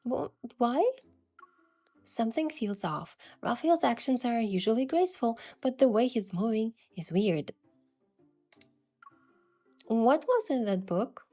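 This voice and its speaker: A woman voicing a curious young adventurer heroine, telling the story in the first person with earnest, expressive delivery. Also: a little high, slow, pauses often, full character voice. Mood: curious, puzzled. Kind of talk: scripted first-person narration and dialogue. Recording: music behind the voice.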